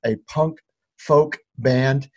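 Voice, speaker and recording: Husky-voiced male podcast host. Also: mid-range voice, steady tone, extremely slow, darkish tone. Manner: upbeat, promotional. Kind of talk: interview hosting.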